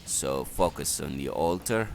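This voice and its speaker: A man giving halting, matter-of-fact, instructional commentary on a video game strategy. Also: extremely low pitch, animated, creaky, noisy background.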